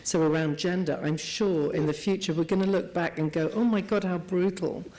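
Woman with a low, mellow voice. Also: steady tone, normal speed. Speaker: panelist. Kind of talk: panel discussion.

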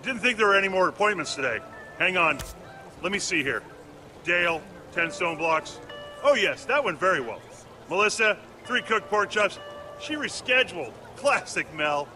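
An actor playing a brisk, officious, chatty male clerk in a video game. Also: sits high, big pitch swings, bright, forceful tone, soft background music, full character voice. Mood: busy, officious. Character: brisk, busy male clerk scheduling appointments. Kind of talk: animated game voice acting.

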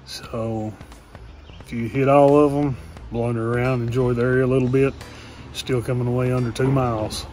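A young man talks through a woodland hike in an easygoing, practical way, dropping frequent "uh" fillers. He is recorded outdoors on a phone held at arm's length. Faint music sits quietly underneath. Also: mid-range voice, normal speed. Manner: relaxed, practical, many "uh" fillers. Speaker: hiking vlogger. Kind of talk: casual outdoor narration. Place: forest trail outdoors.

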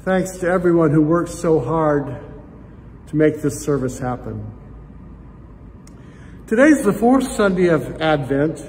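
Older man, pastor, measured formal welcome, slightly high voice, long church reverb, faint room hiss. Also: lively intonation, darkish tone. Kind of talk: scripted welcome and opening remarks. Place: church sanctuary. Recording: reverberant sanctuary with faint ambience.

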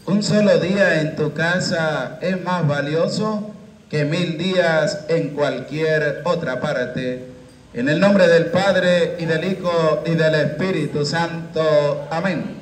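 A man in his thirties, a priest leading Mass into a handheld microphone in a small chapel, with a slow, measured liturgical cadence. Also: on the high side, a little hiss or hum. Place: small chapel with curtained walls. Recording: echoey chapel room.

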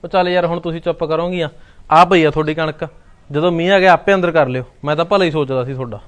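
A man's voice: a little high, fairly even intonation, fast, smooth, lightly acted. A man with a Punjabi accent playing a practical, placating husband in a family drama. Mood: exasperated. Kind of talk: colloquial domestic drama acting.

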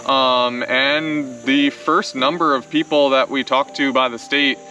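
A young man, a vlog co-host, explaining outdoors in a wooded clearing in a casual, exasperated way, full of "like"s. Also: medium pitch, fairly even intonation, pushed and bright, faint music behind. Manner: exasperated, fast explaining with many "like"s. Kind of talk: casual chat to camera. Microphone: camera-mounted mic, later handheld selfie camera. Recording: outdoor camera audio from a little distance.

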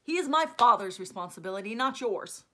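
A stern young guardian read by a woman, firm and commanding. The voice stays bright and plain, with no put-on depth. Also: mid-range voice, expressive, a little fast. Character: stern protective young man, older brother type.

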